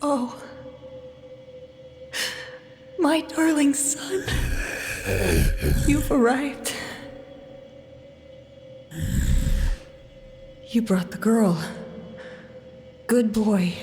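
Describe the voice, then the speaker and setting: A woman's voice: fairly high pitch, extremely slow, pauses often, warm tone. A woman playing a deranged, vengeful mother in a horror audio drama, eerily tender and commanding as she coaxes. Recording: faint horror music behind.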